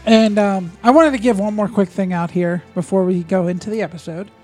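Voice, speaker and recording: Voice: mid-range voice, fairly even intonation, fairly quick, darkish tone, faint music behind. A podcast co-host chatting casually, laid-back and matter-of-fact. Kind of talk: casual chat.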